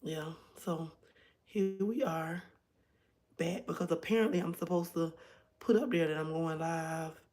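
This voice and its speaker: A hoarse woman hosting a casual livestream from home, chatting directly to her viewers. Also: low voice, unhurried, a little mellow. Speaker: livestream host.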